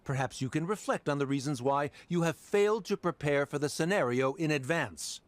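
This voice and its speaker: A man's voice: on the high side, lively intonation, a little fast, lightly acted. A man playing a stern, haughty middle-aged official in a video game, formal, pompous and dismissive. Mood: cold, reproachful.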